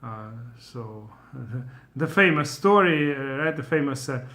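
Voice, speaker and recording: A man's voice: mid-range voice, expressive, takes it slowly, bright, forceful tone. A middle-aged man with a Russian accent, a Torah teacher giving an informal lesson, thinking aloud with many fillers and restarts.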